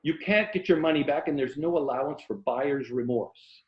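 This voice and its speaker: A man's voice: a little high, close to an everyday voice. A man acting out a grandiose middle-aged rogue from a novel, full of florid romantic pronouncements. He draws out his emphasis like a stage orator. The sound is roomy and slightly dull. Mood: sly, lecturing. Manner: florid, declamatory, drawn-out emphasis. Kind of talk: audiobook-like novel reading. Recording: roomy, dull video-call audio.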